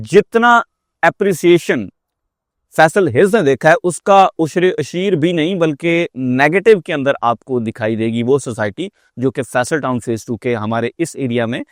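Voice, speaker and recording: A man's voice: on the high side, no echo, clean studio sound. A man with a Pakistani accent giving a persuasive market update as a real estate marketer, explaining emphatically and dropping in English phrases.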